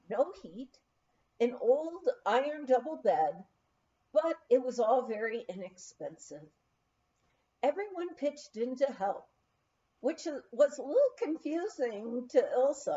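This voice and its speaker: A woman reading a memoir aloud as an audiobook reader, in an animated, unhurried storytelling way. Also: on the high side. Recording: dull, lo-fi recording.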